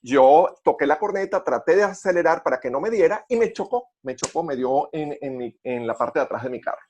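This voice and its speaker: Venezuelan male, slightly high register, conversational podcast storyteller who circles back over words as he goes. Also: lively intonation, darkish tone, a little hiss or hum. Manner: halting, repeats words while searching for phrasing. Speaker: podcast co-host.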